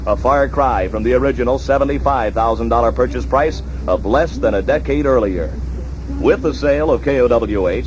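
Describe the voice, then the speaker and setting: A man with a formal announcer delivery, reading scripted narration in the manner of a 1960s radio documentary. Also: mid-range voice. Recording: dull, noisy archival 1960s radio recording.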